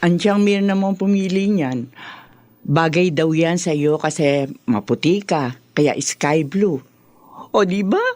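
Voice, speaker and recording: An actress playing a proud, outspoken middle-aged mother in a Filipino radio drama, expressive and emphatic. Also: fairly low pitch, slow pace, pauses often, no room sound. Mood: proud, doting.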